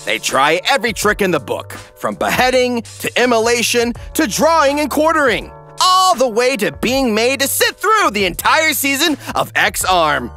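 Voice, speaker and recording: Male recap narrator, theatrical and sarcastic, lively pitch swings, forceful bright tone. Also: moderate pace, faint music behind. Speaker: narrator, parody recap host. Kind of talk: scripted comedic narration.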